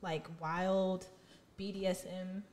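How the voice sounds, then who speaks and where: A woman's voice: on the low side, flat delivery, takes it slowly, darkish tone. A woman co-hosting a podcast, asking questions in a blunt, joking casual chat. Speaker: podcast co-host.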